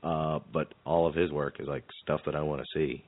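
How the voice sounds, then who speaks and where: Deep voiced podcast guy chatting, kinda halting n self-deprecating, muffled phoney sound. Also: fairly even intonation. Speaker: podcast host. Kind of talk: casual interview and chat.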